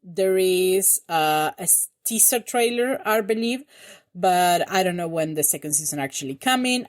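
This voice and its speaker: A young woman from Argentina, a congested voice, chatting and rambling to her channel from home. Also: fairly low pitch. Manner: rambling, self-correcting, chatty. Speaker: reaction channel host. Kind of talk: casual chat to camera.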